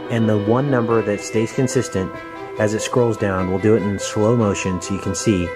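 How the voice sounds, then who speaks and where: Male host, lowish register, earnest explainer narrating film clips, loud music bed underneath. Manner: earnest, addresses listeners as "you guys". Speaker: host and narrator of conspiracy videos. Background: loud background music.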